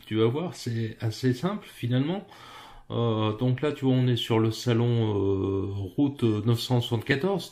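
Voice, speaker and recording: A man's voice: mid-range voice, warm tone. A man with a French accent explains a phone app step by step. He speaks informally, addressing the listener as a friend. His delivery is loose and unpolished, full of hesitations and restarts. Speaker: app tutorial presenter. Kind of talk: casual screen walkthrough.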